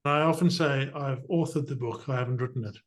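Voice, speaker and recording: A middle-aged man from southern Africa who hosts a podcast, explaining things with energy and quick asides. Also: medium pitch. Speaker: podcast host. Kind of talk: conversational interview.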